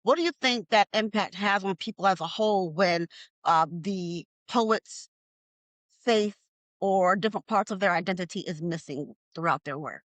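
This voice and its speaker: A woman's voice: mid-range voice, moderate pace, dry recording. A woman interviewing a poet, asking questions in an encouraging way and laughing along with her guest. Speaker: interviewer, host.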